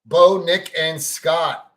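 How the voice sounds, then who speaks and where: A man with a fairly high voice talks sports with friends. He is emphatic and teasing, and he pushes his points hard. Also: steady tone, extremely slow, a bit bright. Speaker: podcast co-host. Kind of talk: casual sports chat.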